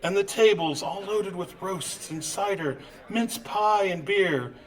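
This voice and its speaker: A man's voice: high voice, takes it slowly, poor audio. A man playing an anxious, wistful old miser in old-time radio drama style, stammering and exclaiming. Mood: delighted, nostalgic.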